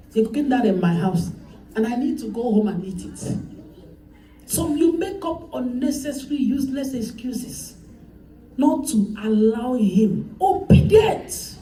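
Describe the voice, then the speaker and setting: A woman's voice: sits high, dark tone, slight background noise. A woman pastor preaching a sermon, calling on the congregation to repeat after her and driving points home with repetition. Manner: preaching with call-and-response, emphatic repetition. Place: church hall. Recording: echoey church hall, voice from far off.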